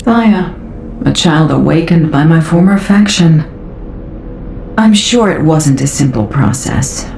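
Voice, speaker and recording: An actress voicing a cool, commanding female alien Magister in a game cutscene, speaking in a measured, formal and aloof way. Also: low voice, darkish tone, echoey room. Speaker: Magister from a rival faction. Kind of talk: video game cutscene acting.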